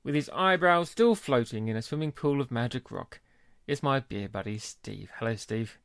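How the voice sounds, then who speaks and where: A man's voice: mid-range voice, expressive, moderate pace, creaky, a bit bright, clear, resonant tone, no room sound, clean studio sound. Chatty man hosting a podcast. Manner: jokey, chatty, laughs easily. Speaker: podcast host. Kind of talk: casual chat.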